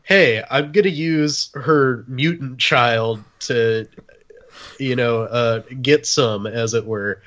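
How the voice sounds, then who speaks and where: A man's voice: medium pitch, takes it slowly, a bit bright. A man chatting casually on a comedy podcast, slangy and wisecracking. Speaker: podcast panelist. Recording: slightly dull, lo-fi microphone sound.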